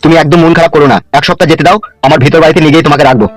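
Fast-talking brash politician played by a man, high-pitched. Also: steady tone, lightly acted. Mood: coaxing. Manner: wheedling, boastful, crude. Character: brash male politician coaxing his secret new bride. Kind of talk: comedy cartoon dialogue. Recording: music behind.

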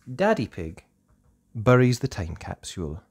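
A woman hosting a storytime, reading a children's book aloud with animated expression. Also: a bass voice, breathy edge, dry recording, studio quality. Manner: slow, animated delivery for small children. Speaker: storytime host and narrator.

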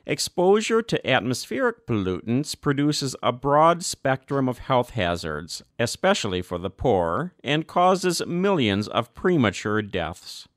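A man giving a measured, earnest audiobook reading of a formal church document. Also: medium pitch, sing-song, no echo, clean studio sound.

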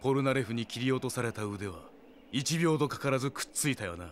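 A tough young male fighter , played by a man in a Japanese audio drama. He is terse, cool and vengeful, taunting with menace. His pitch ranges widely from phrase to phrase. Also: animated, fast, slight background noise, close to an everyday voice. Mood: cold, menacing.

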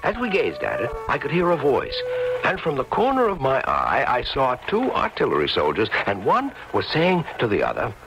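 An actor playing a thoughtful, concerned friend who tells the story in measured, dramatic old radio style. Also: male voice, mid-range voice, huge pitch swings, lo-fi sound, noisy background. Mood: reflective, dramatic. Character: concerned, reflective male friend narrating the painter's story.

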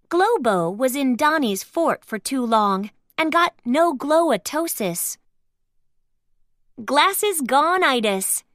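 A woman's voice: sits high, a bit bright, no room sound, clean studio sound. A woman narrating a children's read-aloud storybook, expressively sounding out each phrase.

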